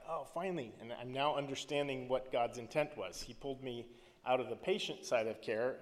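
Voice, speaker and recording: A man's voice: medium pitch, long reverb tail. A man in his thirties, raised in the Philippines, speaking earnestly as a missionary to a church, with many ums and restarts.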